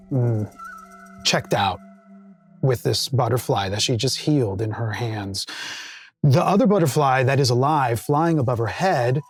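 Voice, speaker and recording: A man running a tabletop game, narrating scenes in a slow, drawn-out way. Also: mid-range voice. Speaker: game master.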